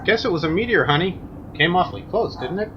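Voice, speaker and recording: An actor playing a puzzled, level-headed suburban husband and neighbour in a radio play, with a husky voice. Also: male voice, high voice, expressive, fast talker, dull audio, background noise. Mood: reassuring.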